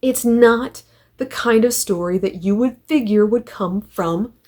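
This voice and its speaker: A woman's voice: fairly high pitch, unhurried, darkish tone. A woman hosting a review, retelling and critiquing a story in an emphatic, conversational way with rhetorical questions. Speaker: comic book reviewer and host.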